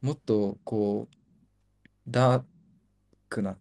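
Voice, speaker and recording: A man's voice: on the low side, fairly even intonation, measured pace. A young man and co-host, a native Japanese speaker, talking thoughtfully in English and Japanese in a casual chat. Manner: soft, thoughtful, switches between English and Japanese.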